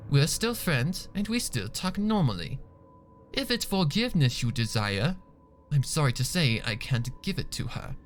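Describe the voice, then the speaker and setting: A man plays a proper lady pony in a storybook drama. The voice is lifted in pitch and swings expressively, prim and firm in its grievance. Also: very animated, normal speed, a little hiss or hum. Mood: firm, resentful. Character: indignant, proper, unforgiving young female pony.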